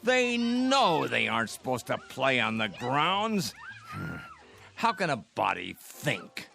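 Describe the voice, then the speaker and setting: An actor playing a bitter, grumbling old rest-home resident in a radio drama. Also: male voice, medium pitch, wildly swooping pitch, slow, gravelly, sharp tone, faint music behind, exaggerated voice. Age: older. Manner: grumbling, muttering.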